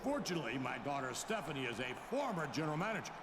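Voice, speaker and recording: A smug older boss in a wrestling video game. He schemes with sarcastic geniality in a high, lively voice, echoing in a noisy hall. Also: rapid-fire. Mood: falsely genial. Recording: echoey hall with background noise.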